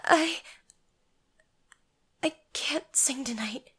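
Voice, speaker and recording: A woman's voice: high-pitched, extremely slow, sharp tone, exaggerated voice. A woman playing a frightened, hesitant young opera singer in an audio drama.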